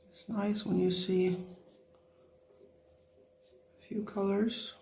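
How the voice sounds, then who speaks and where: A woman with a non-native English accent giving a relaxed watercolour lesson in short, gently encouraging phrases while she works. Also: medium pitch, a little slow, a little hiss or hum. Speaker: watercolour tutorial instructor. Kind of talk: relaxed painting tutorial, talking while working.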